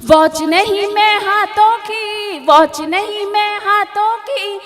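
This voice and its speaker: A woman poet at a mushaira, singing her verses in a drawn-out lilt into a stage microphone and chatting with the crowd between them. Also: extremely high pitch, fairly bright tone. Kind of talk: sung poetry recital. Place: outdoor evening poetry stage. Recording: long reverb, faint music.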